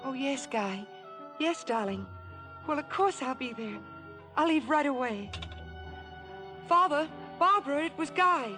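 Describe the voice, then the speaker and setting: A devoted young sweetheart in an old radio drama. Her voice is high and very expressive, with music swelling behind. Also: measured pace, pauses often. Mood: relieved, tender. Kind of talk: 1950s radio drama acting.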